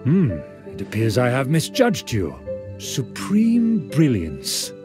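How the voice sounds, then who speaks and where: A haughty game aristocrat, vain and fussy about etiquette. His slow, courtly delivery swoops grandly up and down, with music clearly playing behind him. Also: medium pitch, huge pitch swings. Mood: grandly approving. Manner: pompous, formal, drawn-out courtly speech. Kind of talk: video game character acting.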